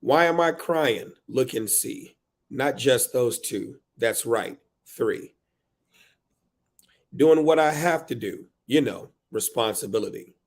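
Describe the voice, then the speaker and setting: A man's voice: medium pitch, animated, takes it slowly, creaky, full character voice. A man voicing an exasperated, overwhelmed single mother of three in a dramatic, emphatic spoken-word book reading. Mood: weary, defensive.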